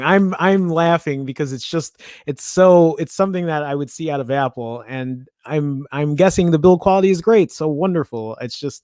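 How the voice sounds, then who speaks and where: A man's voice: fairly high pitch, darkish tone, no room sound, studio quality. A man with a Chicago accent hosting a casual tech news show, reading an article aloud and breaking off into chatty asides and little stumbles.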